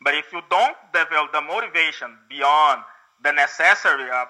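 A man's voice: fairly high pitch, animated, moderate pace, bright, forceful tone, low-quality recording. A Brazilian man with a Portuguese accent, a guest learning English, speaking hesitantly and searching for words. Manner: hesitant, many fillers and restarts, searching for words. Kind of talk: casual conversation.

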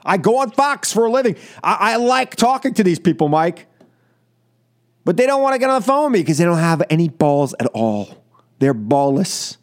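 A man's voice: sits high, sing-song, normal speed. An American man hosting political talk radio, delivering an opinionated, combative monologue and repeating phrases for emphasis.

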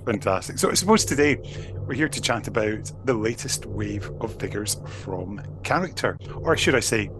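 A man hosting a podcast, playful and fond of wordplay, a bit gravelly from a cold. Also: fairly low pitch, expressive, unhurried, noisy background. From Scotland. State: slight cold, more gravelly than normal. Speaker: podcast host. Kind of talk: podcast chat and interview. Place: at a table across from his co-host.

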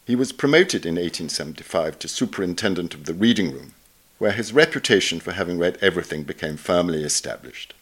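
A man narrating a written essay aloud in a measured, formal way with careful enunciation. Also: low-pitched, animated, fairly bright tone. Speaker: narrator reading an article aloud. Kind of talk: scripted narration of a literary essay.

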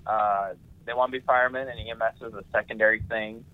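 A man's voice: on the low side, fairly even intonation, fast. A man hosting a casual podcast conversation, speaking earnestly and affirming the others with frequent "mm-hmm"s. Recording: tinny phone-like sound.

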